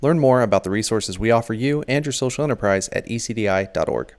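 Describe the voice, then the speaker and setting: A man's voice: on the low side, lively intonation, fast. A man reading a scripted promotional sponsor message as an announcer.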